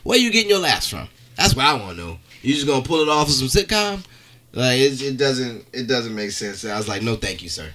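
A man with a bright, punchy voice at an average pitch. He talks like a stand-up comedian on a podcast, spinning animated stories full of slang and swearing in a loose, casual way. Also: brisk. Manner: animated storytelling, profane, slangy.

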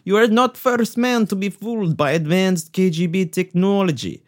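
A man's voice: sits high, moderate pace, natural voice. A man voicing a scheming male Soviet KGB interrogator in mock broken English with a put-on Russian accent. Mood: menacing. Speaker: comedy podcast host.

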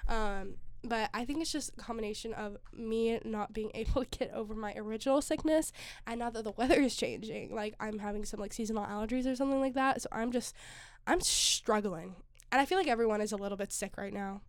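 A woman's voice: a little high, fairly quick, no echo, studio quality. A young woman co-hosting a podcast in casual chat, a little congested from a cold, upbeat and full of fillers. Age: young adult. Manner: chatty, upbeat, many "like" fillers. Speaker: podcast co-host.

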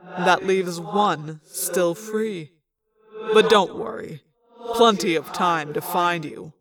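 Woman doing a slow gloating alien warlord. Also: music in the background. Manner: slow, gloating, low and quiet. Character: menacing male alien warlord, smug and cruel.

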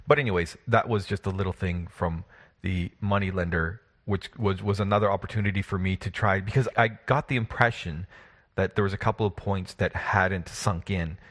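A deep-voiced man who runs tabletop games, chatting loosely with friends. He tells stories with animated energy, repeats himself a lot and swears casually. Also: normal speed. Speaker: game master and podcast host. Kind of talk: casual chat.

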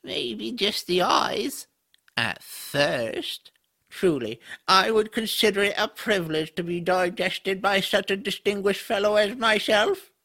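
A man voicing a pompous, droll magical creature in an audio drama, speaking in a formal, drawn-out way. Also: high voice, lively intonation, bright, forceful tone, exaggerated voice. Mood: droll, smug.

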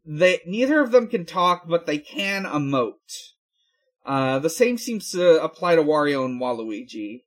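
Guy podcast host, slightly higher voice, slow halting recap w lots of ums. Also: very animated. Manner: halting, filler-heavy, unhurried. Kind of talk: casual episode recap with frequent pauses.

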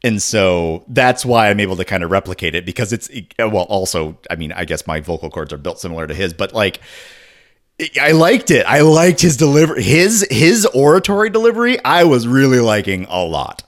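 A man co-hosting a podcast in relaxed, halting casual chat. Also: fairly low pitch. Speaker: podcast co-host.

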